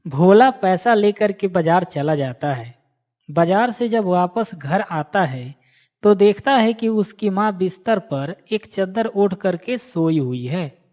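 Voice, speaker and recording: Slow, mid-pitched story narrator. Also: expressive, darkish tone. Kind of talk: scripted cartoon moral story narration.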